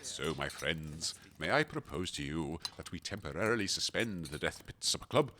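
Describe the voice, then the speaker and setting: An actor playing a pompous, courtly gentleman host in a comedic audio drama, speaking in flowery, formal, theatrical phrases. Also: rumbling low, expressive, normal speed, slight background noise, exaggerated voice. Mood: grandiose.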